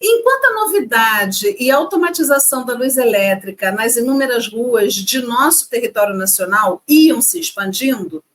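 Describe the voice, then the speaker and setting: A woman co-hosting a casual educational chat, reading facts and adding playful asides. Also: high-pitched. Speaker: co-host.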